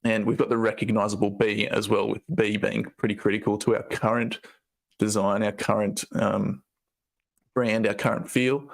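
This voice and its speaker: A man with a croaky voice, casually presenting a team update with loose, rambling phrasing. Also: lowish voice, flat delivery, moderate pace. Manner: loose, rambling, with fillers and restarts.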